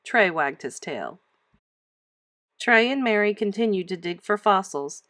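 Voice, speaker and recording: Very animated woman reading a storybook. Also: medium pitch, unhurried, no room sound, clean studio sound. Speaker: teacher reading aloud. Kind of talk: expressive children's storybook read-aloud.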